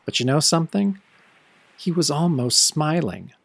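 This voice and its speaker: A man's voice: on the high side, very animated, a little slow, creaky, full character voice. An actor playing a world-weary young man cursed as a werewolf, narrating his own story in old radio horror style, brooding and sardonic. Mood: weary, tense. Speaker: first-person narrator of an audio drama.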